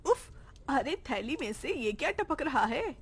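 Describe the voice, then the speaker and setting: Woman doing poor village auntie in a cartoon, highish voice, caring and kinda fretful. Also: big pitch swings, unhurried, full character voice. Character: caring poor village aunt, devout and worn-out. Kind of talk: Hindi cartoon story dialogue.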